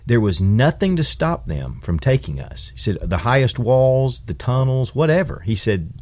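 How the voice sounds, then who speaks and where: A man's voice: mid-range voice, very animated, normal speed, darkish tone. A man in casual conversation, earnestly retelling a friend's story and quoting him with emphasis.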